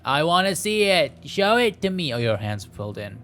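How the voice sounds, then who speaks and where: A man's voice: fairly high pitch, sing-song, normal speed, sharp tone, faint music behind. A man playing a visual novel, reading the text aloud and chatting casually in a playful, rambling way, sometimes stumbling over the words. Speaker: gaming streamer.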